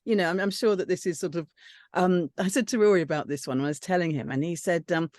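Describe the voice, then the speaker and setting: A woman's voice: lowish voice, fast, a little mellow, no echo. A woman on a reading panel giving casual, tentative feedback, circling round her phrases. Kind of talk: casual discussion.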